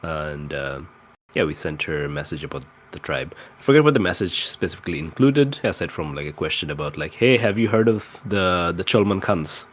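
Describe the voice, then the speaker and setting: A man casually recapping a tabletop roleplay campaign as one of its players, in a halting way that circles back to rephrase. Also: sits low, lively intonation.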